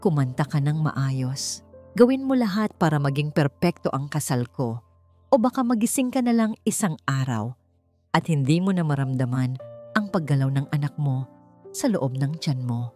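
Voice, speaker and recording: A woman's voice: low voice, expressive, normal speed, mellow, faint music behind. Woman acting a haughty, cruel rich bride. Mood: menacing. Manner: taunting, switches to sugary sweetness. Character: cruel wealthy bride, haughty, sneering, falsely sweet.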